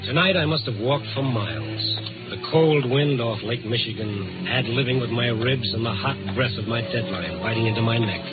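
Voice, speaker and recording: A man's voice: medium pitch, moderate pace, few pauses, lightly acted. An American actor playing a world-weary night reporter in a 1950s radio drama, wisecracking as he narrates. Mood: brooding, wry. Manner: hard-boiled, wisecracking narration. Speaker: actor in the lead role. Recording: tinny old radio recording with loud music.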